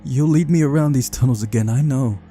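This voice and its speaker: A man's voice: mid-range voice, fairly quick, mellow, faint music behind, pitched above the performer's own voice, close to an everyday voice. A man voicing a paranoid, frightened young man in a visual novel game, agitated and defiant. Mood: panicked, defiant. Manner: agitated, mumbling.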